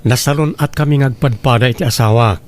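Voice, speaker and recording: A man's voice: medium pitch, fast talker, natural voice. A man with an Ilocano accent playing a proud, unbending businessman father in a radio drama, firm and dismissive. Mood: defensive, firm.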